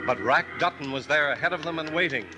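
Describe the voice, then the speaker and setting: A man narrating a 1950s radio western in a crisp, dramatic way. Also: a little high, fast. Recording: old radio narration over music.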